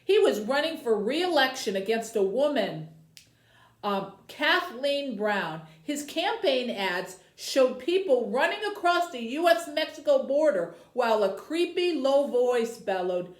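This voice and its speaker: A woman with a high voice works as a library host for young viewers. Her pitch moves up and down in an expressive storytelling style. The room adds noticeable echo around her words. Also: unhurried. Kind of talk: reading a children's novel aloud, then addressing young viewers. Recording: roomy, echoey indoor sound.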